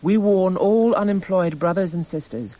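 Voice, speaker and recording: A male actor is the narrator of a courtroom radio play, detached and formal. He lists items in short, clipped phrases, his voice fairly high yet soft-toned. The recording is slightly muffled. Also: moderate pace, close to an everyday voice. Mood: detached, descriptive. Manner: clipped, itemising, descriptive. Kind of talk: scripted radio drama narration.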